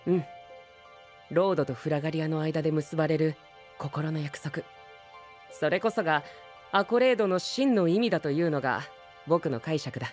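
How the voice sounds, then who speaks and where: A male actor plays a light-voiced young anime gentleman knight. He is refined and earnest, speaking in courtly, formal phrases sprinkled with English, with faint music behind. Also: a little high, normal speed, long pauses, natural voice. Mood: proud, earnest. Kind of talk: anime voice drama acting.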